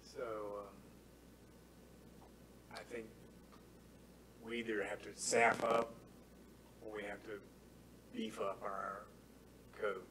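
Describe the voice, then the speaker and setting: A man speaks at a town meeting in a low, flat voice that is wearing thin. He makes his points earnestly and circles back to the same phrases. A faint hum of the room sits behind him. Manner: earnest, measured, repeats key phrases. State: losing his voice. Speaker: committee member. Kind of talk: public meeting discussion.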